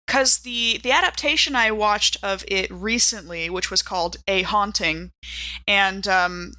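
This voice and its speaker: A woman's voice: mid-range voice, sharp tone, no room sound. A woman chatting casually as a podcast co-host and player. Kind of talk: casual chat.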